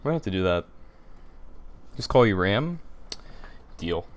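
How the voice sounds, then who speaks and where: A teenage boy with a deep voice, hosting a casual livestream and chatting with viewers, rambling and repeating himself with many fillers. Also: very animated, moderate pace. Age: teens. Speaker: livestream host. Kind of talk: casual livestream chat reading comments.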